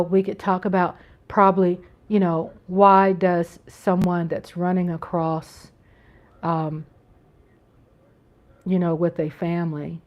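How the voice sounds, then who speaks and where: A woman's voice: fairly low pitch, dull audio. An American woman hosting a casual live-stream chat from home, talking in an earnest, rambling way with frequent restarts. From United States. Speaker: channel host.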